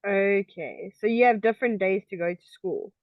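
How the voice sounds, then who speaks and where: A woman's voice: medium pitch, moderate pace, no echo. A woman teaches English over an online call. She speaks patiently and checks her student's understanding with frequent okays. Her voice comes through dry and a little dull. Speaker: English tutor.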